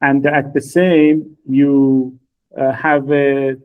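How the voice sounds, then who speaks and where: A man from Iran speaking very slowly, pausing often between words as he searches for his English. His voice comes through a narrow, phone-like line. The tone is conversational, as on a podcast. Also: medium pitch, flat delivery. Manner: halting, many filler pauses, non-native phrasing. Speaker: podcast co-host. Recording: phone-like thin audio.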